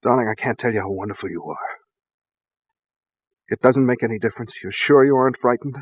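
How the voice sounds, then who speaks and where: A man playing a grave, haunted husband in a 1940s radio drama, with a measured, formal, slightly husky delivery. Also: medium pitch, no echo, lightly acted. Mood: brooding, troubled. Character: grave, secretive husband haunted by his mad brother. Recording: dull, dry vintage radio recording.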